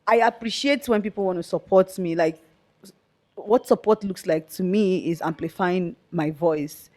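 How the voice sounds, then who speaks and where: Young nigerian woman, loud voice, talks earnest and direct, lowish pitch. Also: normal speed. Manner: earnest and outspoken. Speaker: guest, activist. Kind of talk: conversational interview.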